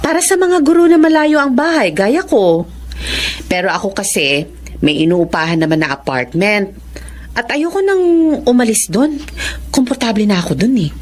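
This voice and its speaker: A woman playing a kindly, encouraging school teacher in a Filipino radio drama, expressive and nurturing, with a Filipino accent. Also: medium pitch, normal speed, long pauses, natural voice. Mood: friendly.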